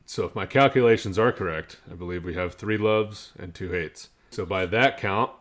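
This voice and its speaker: Low-voiced man hosting a casual podcast. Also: normal speed, a bit bright. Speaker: podcast host. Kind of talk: casual group banter.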